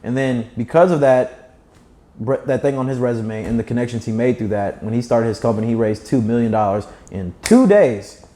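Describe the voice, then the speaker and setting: A man's voice: medium pitch, a little fast, mellow. A young man with a slight lisp, speaking fluently and emphatically as a guest telling stories and giving advice in conversation. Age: young adult. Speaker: guest, startup founder. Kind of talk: animated conversational storytelling and advice.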